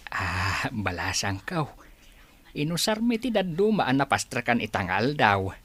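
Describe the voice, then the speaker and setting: An actor playing a friendly, fussing village worker in an Ilocano radio comedy drama, with an Ilocano accent and exclamatory delivery. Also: male voice, mid-range voice, huge pitch swings, normal speed, a bit bright. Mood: apologetic, friendly.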